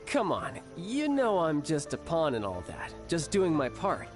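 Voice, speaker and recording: A confident young warrior speaks in a slightly raised, very lively voice, smooth and teasing with a taste for grand courtesy. Game music sits clearly behind him. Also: male voice, on the high side, slow pace, exaggerated voice. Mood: playful. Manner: smooth, teasing, mock-formal. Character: cocky young male warrior, playful and charming. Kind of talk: game cutscene voice acting. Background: background music.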